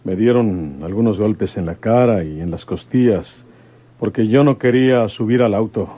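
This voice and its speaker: A man acts out a rustic village police commissioner in a radio serial. His voice is low and warm, his manner folksy, hesitant and good-humoured. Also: normal speed, natural voice. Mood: folksy, sheepish. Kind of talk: radionovela acting.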